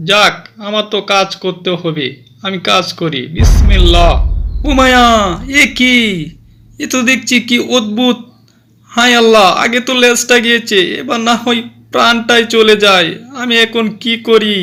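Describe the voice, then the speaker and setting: A man's voice: high-pitched, bright, forceful tone. A man voicing a downcast fox who is mocked for his cut-off tail. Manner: plaintive, self-pitying.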